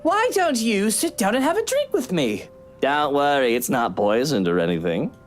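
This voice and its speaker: A woman's voice: sits low, very animated, moderate pace, pitched below the performer's own voice. A woman voicing a bitter, scheming husband in a visual novel, sardonic and theatrical. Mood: wry. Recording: music behind the voice.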